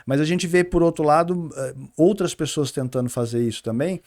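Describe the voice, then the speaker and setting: Interviewer, man, calm questioner, leans on fillers. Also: a little high. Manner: measured, asks questions, many fillers. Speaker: interviewer from a social institute. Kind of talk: conversational interview.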